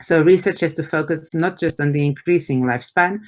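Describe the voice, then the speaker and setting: A man from Portugal, a native Portuguese speaker, lecturing in English as a university professor, explaining haltingly with repeated words and rhetorical questions. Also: on the high side. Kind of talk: academic lecture to an audience.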